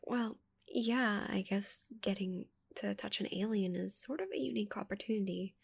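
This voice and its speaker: A woman voicing a shy, curious giant female alien in a soft, breathy ASMR roleplay, with a hesitant, stammering manner. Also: mid-range voice, normal speed. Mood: flustered.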